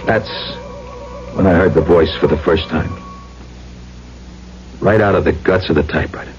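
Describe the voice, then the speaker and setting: A man's voice: low-pitched, moderate pace. A man playing a troubled, self-doubting writer in a radio drama, speaking in a dramatic, confiding way. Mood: bitter, confiding. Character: rattled, self-doubting struggling male writer. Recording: dull old radio recording, loud music.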